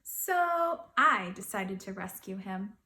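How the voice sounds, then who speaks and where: A woman's voice: medium pitch, slow pace, reverberant, slight background noise, pitched below the performer's own voice, exaggerated voice. A woman voicing a fond, imaginative little girl who tells her own storybook tale expressively. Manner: expressive, dramatic storybook telling.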